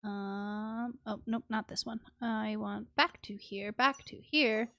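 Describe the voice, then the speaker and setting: A woman's voice: on the high side, steady tone, takes it slowly, a bit bright, dull audio. A woman livestreaming a game in casual chat, playful and prone to muttering little sound words to herself. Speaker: game streamer. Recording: music playing behind the voice. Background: music.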